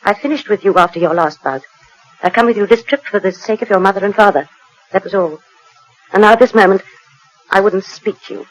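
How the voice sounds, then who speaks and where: Actress as a cold, cutting British wife. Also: female voice, mid-range voice, a little fast, pauses often, natural voice. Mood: bitter contempt. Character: cold, bitter, proud wronged wife. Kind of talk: 1940s British radio acting. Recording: noisy 1940s radio recording.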